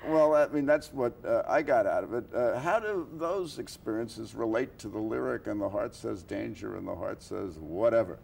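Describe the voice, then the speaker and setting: A man hosting a conversational interview, asking questions in a halting, hesitant way. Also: on the low side, lively intonation, vocal fry, darkish tone, slight background noise. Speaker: interview show host.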